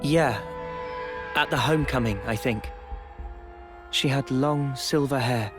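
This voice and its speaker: A man's voice: medium pitch, takes it slowly, close to an everyday voice. An actor playing an easygoing, reassuring young male soldier in a video game. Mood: earnest. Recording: music behind.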